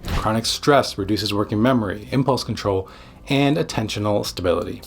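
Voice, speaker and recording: A man giving scripted educational narration as a psychiatrist, speaking in short, deliberate, emphatic phrases. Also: fairly low pitch, creaky. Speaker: psychiatrist presenting an explainer video.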